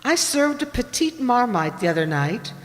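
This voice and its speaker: A woman's voice: a little high, sing-song, normal speed, soft background music. A woman playing a reserved, hesitant housewife in an amateur radio play. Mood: hesitant, uneasy. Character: reticent, faintly troubled housewife shopping for groceries. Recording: echoey hall, long reverb.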